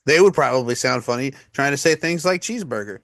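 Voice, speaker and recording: Brief: male podcast co-host, crude joker, mid pitch, very dry close mic. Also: animated, quick pace, fairly bright tone, no echo. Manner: joking, crude, laughs often. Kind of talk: casual chat.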